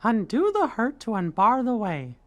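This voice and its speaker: A man's voice: very high voice, wildly swooping pitch, moderate pace, a bit bright, dry recording, heavily acted. A man voicing a small, optimistic cartoon mouse king in a video game, upbeat and reassuring. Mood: thoughtful. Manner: upbeat, encouraging. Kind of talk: animated game voice acting.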